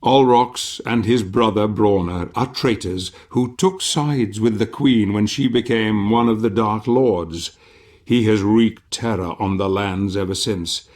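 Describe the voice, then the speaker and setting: An actor playing a solemn, ominous older storyteller, narrating a video game in a theatrical, measured manner. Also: male voice, fairly low pitch, darkish tone, close to an everyday voice. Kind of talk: scripted dramatic game narration.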